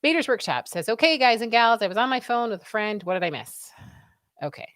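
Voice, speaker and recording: A woman's voice: a little high, big pitch swings, fairly quick, a bit bright, dry recording. A woman with a scratchy voice hosting a live stream, chatting casually and reading out viewer comments. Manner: chatty, rambling, reads comments aloud. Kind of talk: casual chat.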